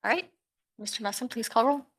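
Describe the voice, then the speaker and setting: A woman chairing a public commission meeting, her voice slightly raspy today, moving things along in a brisk, procedural way. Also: a little high, animated.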